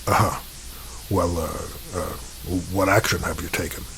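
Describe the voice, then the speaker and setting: An actor playing an evasive, calculating middle-aged American president who stammers and hedges in an audio drama. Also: male voice, sits low, a bit bright, soft background music. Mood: hesitant, uneasy. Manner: halting, stammering, hedging.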